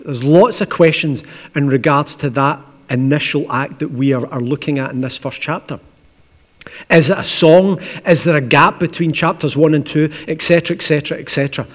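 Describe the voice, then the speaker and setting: A man with a Glaswegian accent, preaching as the pastor of a church in a conversational, gently humorous way. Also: fairly high pitch. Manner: conversational, with asides and dry humour. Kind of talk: sermon with opening prayer. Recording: long church reverb.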